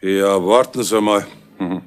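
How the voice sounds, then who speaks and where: A gossipy, confiding informant in an old radio crime play. He leans in to share rumors in an ordinary mid-pitched voice. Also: measured pace. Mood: gossiping. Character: Wanninger, chatty male police informant, gossipy.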